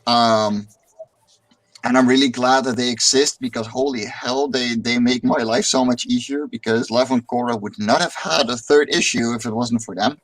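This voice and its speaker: A man's voice: medium pitch, fairly even intonation, normal speed, a bit bright, rough recording. A man chatting casually as a streamer and comic artist, who often repeats words as he gets a thought going. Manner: rambling, repeats words when starting a thought. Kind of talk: casual chat.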